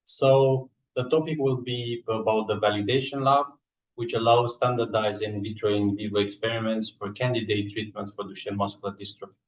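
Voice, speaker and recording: Halting Romanian-accented man, echoey and distant. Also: on the low side, steady tone. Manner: halting, hesitant delivery with frequent filled pauses. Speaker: research scientist and lab coordinator presenting. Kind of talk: scientific slide presentation. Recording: echoey room, distant microphone.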